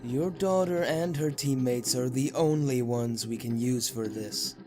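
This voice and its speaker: A calculating villain lieutenant who delivers bad news in a measured, businesslike tone, as a man acts him out. He speaks slowly at a middle pitch. Faint music sits behind. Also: natural voice. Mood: grave. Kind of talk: fan audio drama acting.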